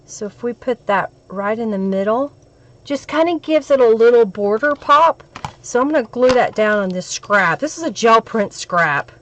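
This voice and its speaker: A woman with a crackly, hoarse voice from a cold, hosting a crafting live stream and chatting with viewers as she works. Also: a little high, lively intonation, moderate pace.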